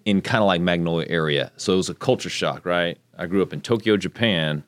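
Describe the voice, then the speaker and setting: Deep-voiced chatty Texan candidate. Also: male voice, a little fast, dry recording. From Texas, United States. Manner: chatty, rambling, faith-focused storytelling. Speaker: congressional candidate, guest. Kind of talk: casual interview talk.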